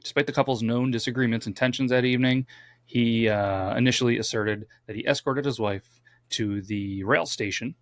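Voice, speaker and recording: A man's voice: medium pitch, moderate pace, dry recording, clean studio sound. A man co-hosting a casual true-crime podcast, reading from his notes with stumbles and wry asides. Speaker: podcast co-host.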